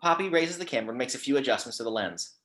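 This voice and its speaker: A woman's voice: extremely low pitch, expressive, very fast, fairly bright tone. A woman reading scripted stage directions in an amateur table read.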